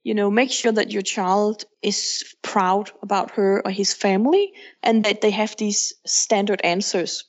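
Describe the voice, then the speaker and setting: A plainspoken woman from Denmark with a Danish accent speaks English as her second language. Her pitch sits in the middle, and she comes through a muffled, lo-fi remote connection as a podcast guest. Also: a little slow, few pauses. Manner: frank, candid, plainspoken. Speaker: guest, author.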